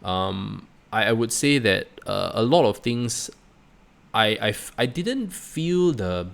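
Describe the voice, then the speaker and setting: Low, gravelly-voiced Singaporean man. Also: sing-song, fairly bright tone. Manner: halting, repeats words, often tags phrases with "right?" and "you know". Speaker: host of a personal finance channel. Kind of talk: casual reflective monologue.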